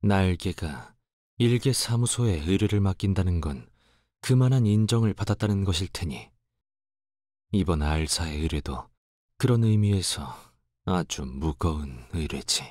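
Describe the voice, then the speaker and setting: Game casting: dutiful young fixer, lowish voice, archaic formal diction, reserved and melancholy, very dry close sound. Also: male voice, husky, no room sound. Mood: grave, earnest. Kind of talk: scripted game character voice lines.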